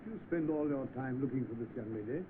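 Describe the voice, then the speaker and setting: A man playing a formal, sceptical gentleman adviser in 1930s film style, speaking in a dry, measured way. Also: mid-range voice, natural voice. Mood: disapproving. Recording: noisy, tinny old film soundtrack.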